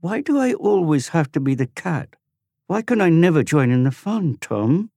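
A man voicing an excitable ten-year-old boy who whines and protests. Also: fairly high pitch, normal speed, gravelly, no echo, clean studio sound, pitched above the performer's own voice, full character voice. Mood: pleading. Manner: whiny, protesting. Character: excitable boy of ten, youngest brother, pleading.

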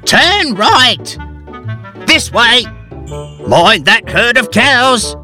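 A man voicing an excitable, cheerful young male character in a storybook, eager and full of exclamations. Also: high-pitched, slow, faint music behind, voice pushed up, heavily acted. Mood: excited.

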